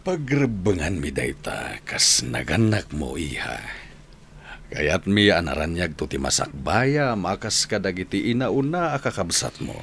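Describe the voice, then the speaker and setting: A man's voice: low-pitched, big pitch swings, a little slow, creaky, fairly bright tone, slightly rough, heavily acted. An actor with an Ilocano Filipino accent playing a mild, supportive middle-aged father in a radio drama. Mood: proud, tender.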